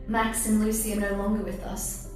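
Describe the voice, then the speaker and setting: An actress playing an earnest, worried companion to an old professor in an audio drama, speaking plaintively. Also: female voice, medium pitch, completely flat delivery, moderate pace, lightly acted. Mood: grave. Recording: very echoey, with music underneath. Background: music.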